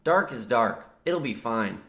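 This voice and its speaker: A man's voice: mid-range voice, animated, unhurried, vocal fry, pushed and bright, full character voice. An actor playing an exasperated, practical younger man, serious and matter-of-fact, as the straight man in a comic old-time radio horror play. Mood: dismissive. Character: exasperated, practical younger man, war veteran trapped underground.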